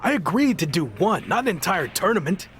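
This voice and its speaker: An actor playing a reluctant, headstrong pro wrestler, grudging and sardonic, in a video game documentary cutscene. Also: male voice, sits high, sing-song, a little fast, a bit bright, a little hiss or hum.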